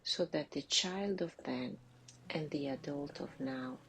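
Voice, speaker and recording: A woman leading a guided meditation in a hushed, breathy, deliberate manner with long pauses between phrases. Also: low-pitched, big pitch swings, a little hiss or hum, rough recording. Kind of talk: slow guided visualisation, meditative narration.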